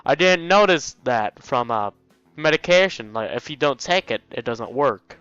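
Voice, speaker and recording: A man's voice: medium pitch, expressive, moderate pace, fairly bright tone, smooth, no room sound, dull audio, soft background music. A man hosting a let's play, reading a visual novel aloud and breaking off into jokey exclamations.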